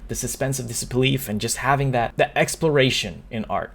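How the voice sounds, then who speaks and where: A man's voice: medium pitch, a little fast, gravelly, fairly bright tone. A young man talking to camera in an opinion vlog. He is upset and earnest, and he often trips over and restarts his words. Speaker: YouTuber.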